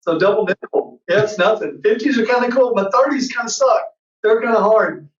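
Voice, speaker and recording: A man's voice: high-pitched, fairly quick. Fifty-something man, distant and roomy. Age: 50s. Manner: rambling, excited storyteller. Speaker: guest, entertainment show host. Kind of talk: casual interview chat. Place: indoor room. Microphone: far camera on a video call. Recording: roomy, distant, muffled video-call audio.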